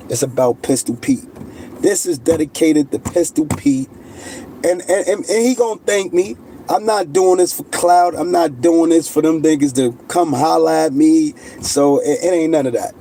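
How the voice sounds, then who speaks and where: A middle-aged man holds forth in a loose, insistent monologue, peppered with street slang and "you heard?". His voice sits a touch high but sounds mellow and relaxed. Faint background noise and passing vehicles can be heard. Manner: street slang, insistent, repeats "you know what I mean". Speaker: channel host. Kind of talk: casual monologue to camera.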